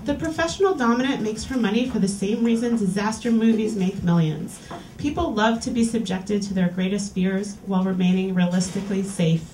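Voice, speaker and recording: A woman's voice: medium pitch, dark tone, a little hiss or hum. A woman hosting a reading series, reading an erotica piece aloud to a live audience in a small lounge. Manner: clear, deliberate reading-aloud delivery. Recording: roomy, distant live capture.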